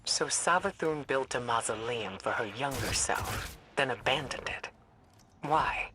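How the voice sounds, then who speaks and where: An actress playing a stern, sceptical intelligence chief in a video game, clipped and exacting. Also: female voice, very deep voice, animated, a little slow, noisy background. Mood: stern, questioning.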